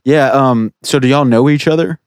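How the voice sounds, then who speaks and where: A man's voice: medium pitch, moderate pace, smooth. A man co-hosting a podcast, cracking crude jokes and laughing in a casual chat. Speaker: podcast co-host.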